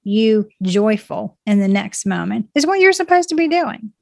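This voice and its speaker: A woman talks earnestly and encouragingly about growth, speaking in positive affirmations. Her voice sits at an ordinary middle pitch. The recording is dry and close, with no room sound. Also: big pitch swings, normal speed, gravelly, a little mellow, no room sound. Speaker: guest speaking on self-growth. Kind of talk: reflective conversation.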